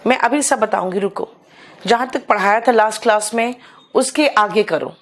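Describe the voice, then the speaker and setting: Hoarse woman teacher, live online class, motherly, keeps clearing her throat. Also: medium pitch, normal speed. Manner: motherly, calls students "beta", coaxing them to hurry. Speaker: English teacher.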